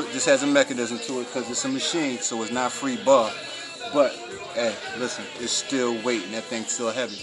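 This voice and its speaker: A man coaching a workout in a gym, talking in a loose, slangy, motivational way. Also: mid-range voice. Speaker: fitness trainer. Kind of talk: casual workout vlog coaching. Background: music playing.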